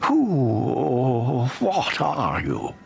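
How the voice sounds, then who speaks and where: An actor playing a frightened, bewildered old miser in a dramatized audiobook, gasping and halting as he speaks. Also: medium pitch, wildly swooping pitch, creaky, soft background music, heavily acted. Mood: awed, wary.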